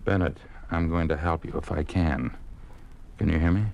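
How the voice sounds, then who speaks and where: A coldly commanding communist officer with a low voice. He is curt, sardonic and authoritative, in 1950s radio drama style, on a faintly noisy old recording. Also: steady tone, moderate pace, gravelly, darkish tone, lo-fi sound. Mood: falsely helpful.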